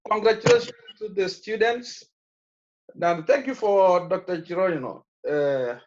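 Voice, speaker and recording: A man's voice: high voice, a little hiss or hum. A man with a Kenyan accent giving rambling, casual advice from his office over a video call, with many fillers. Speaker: alumnus speaking at a graduation. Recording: muffled video call audio.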